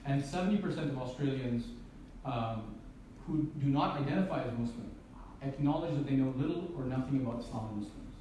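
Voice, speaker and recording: A man's voice: mid-range voice, brisk, warm tone. A man from Canada giving a public lecture, speaking earnestly, posing rhetorical questions and restarting his phrases. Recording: very echoey hall from far off, faint hiss.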